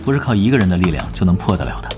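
A man's voice: low voice, big pitch swings, very fast, music in the background. An actor playing a laid-back, unflappable male veteran gamer in an audio drama, casual and dry in manner. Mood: relaxed. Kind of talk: Chinese audio drama acting.

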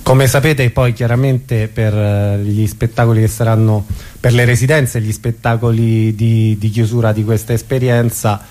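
A man's voice: medium pitch, level tone, normal speed, warm tone. A man hosts a radio interview informally, speaking in fragments and repeating words. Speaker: radio theatre show host.

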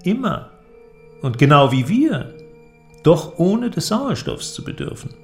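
An actor playing an eloquent, persuasive inventor in a radio drama, with a declamatory, deliberate delivery and ornate phrasing. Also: male voice, fairly high pitch, very animated, vocal fry, darkish tone, soft background music, exaggerated voice. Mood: lecturing, enthusiastic. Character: eloquent visionary male inventor, grandiose and persuasive. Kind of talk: German literary radio drama acting.

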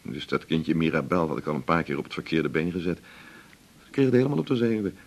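An actor playing a lonely, teasing, self-mocking doctor in a naturalistic radio drama, talking in a wry, confiding way. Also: male voice, a bass voice, quick pace. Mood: wry, confessional. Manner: wry, confiding, short clipped phrases.

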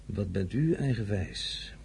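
An actor playing an ambitious, imperious young French general in an audio drama, with emphatic, rhetorical phrasing and a husky voice. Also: male voice, on the low side, expressive, takes it slowly, a little mellow, slight background noise, exaggerated voice. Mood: persuasive, probing.

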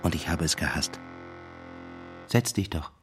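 A man's voice: extremely low pitch, lively intonation, unhurried, over music. An actor playing a brooding, disillusioned younger man, wary and reflective, in radio drama monologue and dialogue. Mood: brooding, bitter.

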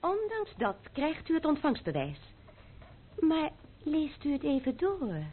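A woman's voice: high-pitched, huge pitch swings, measured pace, background noise, full character voice. An actress playing a proud, defiant young French noblewoman in a Dutch radio play, haughty and scornful in manner. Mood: commanding. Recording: narrow-band, muffled old radio recording.

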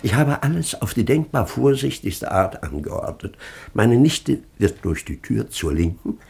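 A man's voice: fairly low pitch, lively intonation, unhurried, vocal fry, darkish tone, slightly rough, heavily acted. An actor playing a pompous, fussy, easily piqued baron and father in a German radio comedy of manners. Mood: pompous, pleased. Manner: pompous, declamatory. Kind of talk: German radio play of a classic stage comedy.

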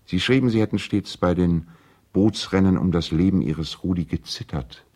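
An actor playing a polite, conciliatory lawyer in a 1970s German radio play, speaking in a formal, measured way. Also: male voice, low-pitched, a little mellow. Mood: earnest, hopeful. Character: courteous, conciliatory male lawyer and family friend.

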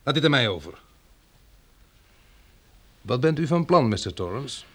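A man's voice: mid-range voice, very animated, unhurried, a bit bright. A wary settlement leader in a radio drama. He is terse and guarded, questioning firmly and standing his ground. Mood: firm, resisting.

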